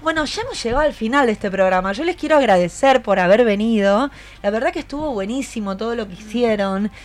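A woman's voice: a little high, expressive, fast. A woman with an Argentine accent hosting a live radio interview, encouraging her guests and asking them questions. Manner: encouraging, asks many questions. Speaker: radio show host.

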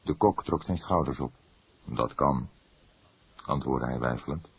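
A man's voice: rumbling low, brisk, breathy edge, no room sound. A man narrating a crime novel as a scripted, dramatic audiobook reading.

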